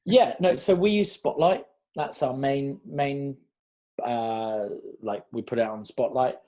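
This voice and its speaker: A man's voice: mid-range voice, unhurried. A man, a casting director answering questions in a rambling, chatty way. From South Wales. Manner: chatty, rambling, animated storytelling. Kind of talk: online Q&A chat.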